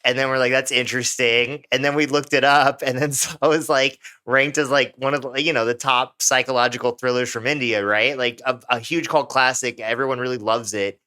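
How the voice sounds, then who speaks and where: A man's voice: mid-range voice, steady tone, a little fast, few pauses, pushed and bright, smooth. A young American man, co-hosting a podcast in casual, rambling chat full of filler words. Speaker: podcast co-host.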